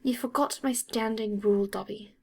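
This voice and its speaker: A woman reading the part of an earnest, protective young wizard boy in an audiobook, with a measured, firm manner. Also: medium pitch, fairly even intonation, creaky, warm tone.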